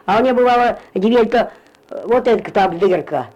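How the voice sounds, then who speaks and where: Old village woman with a dark, lo-fi voice. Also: fairly high pitch, expressive, moderate pace. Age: older. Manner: meandering, repetitive, drops to a mumble at phrase ends. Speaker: interviewee, retired farm worker. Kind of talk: rambling spoken memories. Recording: lo-fi field recording.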